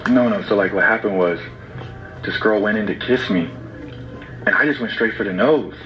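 A man's voice: lowish voice, moderate pace, fairly bright tone. A young man pranking with a staged phone call, talking in a brazen, casual, slangy way. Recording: dull lo-fi playback with music behind. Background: music.

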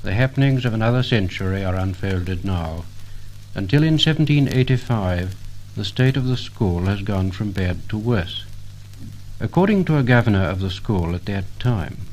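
A British man narrating a scripted 1950 commemorative record in a solemn, measured, literary manner. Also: on the low side, expressive, darkish tone, poor audio.

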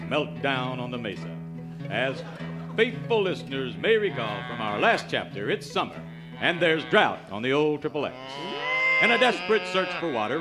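A melodramatic western serial announcer narrates with portentous drama. His fairly high voice swings in pitch over prominent music. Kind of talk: scripted narration, old radio serial parody. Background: loud music.

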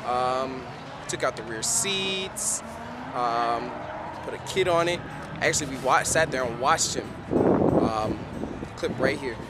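A man's voice: mid-range voice, animated, takes it slowly, pauses often. A young man hosting a casual car vlog, chatting in a laid-back way with frequent ums, filmed on a handheld camera at an outdoor event and in his car. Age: young adult. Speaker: vlogger host. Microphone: handheld camera held close. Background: beat music.

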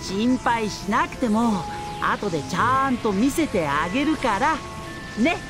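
A playful young male schemer from an anime game, pitched high and swinging freely up and down. He shows off with smug, teasing, sing-song delivery, like a mad scientist pleased with himself. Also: animated, slow pace, over music. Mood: playful, boastful.

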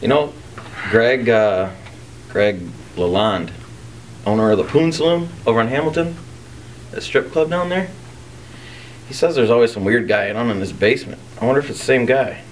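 A man's voice: mid-range voice, moderate pace, pauses often, natural voice. A young man acting a lazy slacker roommate in an amateur short film. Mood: laid-back. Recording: distant mic, faint noise.

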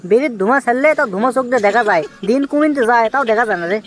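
A man voicing an excitable, scheming young village man in a comic cartoon, with a Bogura accent from northern Bangladesh and an exclamatory, wheedling manner. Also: extremely high pitch, very fast. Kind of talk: comic cartoon dialogue in rural dialect.

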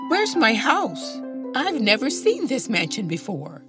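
Woman as a lively, highish-voiced cartoon mother. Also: a little slow, soft background music, exaggerated voice. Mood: puzzled, curious. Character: curious, trusting mother of a grown son. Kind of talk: children's cartoon acting.

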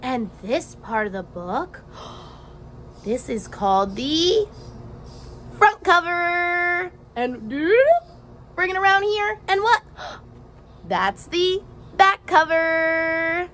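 A young woman with a high, very lively voice teaches as she reads a picture book to children. She is expressive and encouraging, speaking slowly and stressing key words. There is faint outdoor noise behind her. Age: young adult. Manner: expressive, asks questions and pauses for answers; encouraging, with exaggerated gasps and emphasis. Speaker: teacher reading aloud to children. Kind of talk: animated children's picture book read-aloud. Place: outdoors on a house porch.

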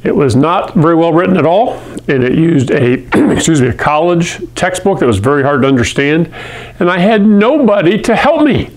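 A middle-aged man from Hawaii teaching chemistry to camera in a classroom, talking in a friendly, conversational way and often clearing his throat. He speaks into a lapel mic. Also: fairly high pitch, big pitch swings, moderate pace. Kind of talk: video lesson to camera. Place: classroom in front of a whiteboard.

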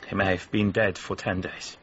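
An actor playing a shaken, terse art dealer bearing grim news in a radio drama. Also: male voice, deep voice, little pitch movement, moderate pace, lo-fi sound, a little hiss or hum, natural voice. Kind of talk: naturalistic radio drama acting.

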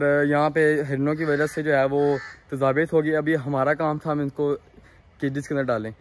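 A young man with a Pakistani accent, talking casually and haltingly to viewers as a farm-owning vlogger, outdoors on a phone. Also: fairly high pitch, a little hiss or hum. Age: young adult. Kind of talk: casual vlog tour, explaining to viewers. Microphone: handheld phone at arm's length.

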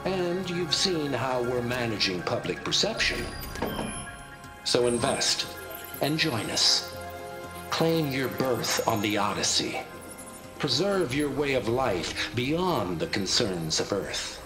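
An echoey, animated male corporate pitchman in a video game recording. He sells a grand vision with polished, persuasive swings of pitch over prominent music. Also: takes it slowly. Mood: persuasive, urgent. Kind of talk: scripted promotional presentation, video game acting. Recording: echoey projected playback with music.

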